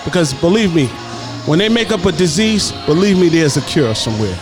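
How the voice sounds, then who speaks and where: Blunt radio co-host over music. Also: male voice, on the high side, animated, fairly quick. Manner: blunt, chiming in with short remarks. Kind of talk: casual radio talk. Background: music playing.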